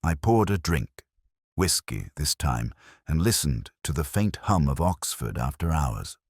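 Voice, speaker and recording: A man narrating a first-person detective story in a measured, literary, brooding manner, with a husky voice. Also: extremely low pitch, sing-song, dry recording, clean studio sound.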